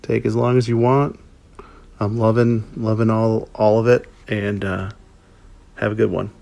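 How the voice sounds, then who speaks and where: Man, lowish gravelly voice, casual review, halting and rambling, thinking out loud. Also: level tone, background noise. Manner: hesitant, rambling, thinking aloud. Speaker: listener giving feedback to a podcast.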